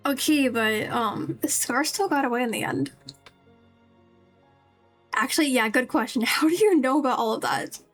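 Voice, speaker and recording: A woman's voice: high voice, moderate pace, pauses often. A woman streaming a game, giving casual, chatty, playfully mocking commentary as she plays. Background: game music.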